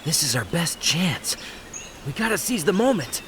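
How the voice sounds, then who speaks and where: A man's voice: a little high, very animated, normal speed, noisy background. A man playing an eager, scheming martial artist sidekick in a video game cutscene.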